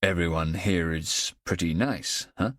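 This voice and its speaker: A man voicing a calm adult policeman in an audiobook, questioning gently and steadily. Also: low voice, measured pace, dry recording, clean studio sound. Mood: gentle coaxing.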